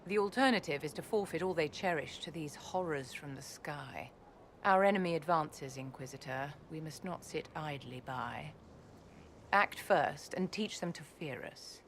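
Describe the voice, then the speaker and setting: Haughty, low-voiced court lady. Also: normal speed, a little hiss or hum. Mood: grave, resolute. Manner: imperious, polished, condescending endearments. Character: haughty, elegant middle-aged court lady, coolly commanding. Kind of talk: video game character dialogue.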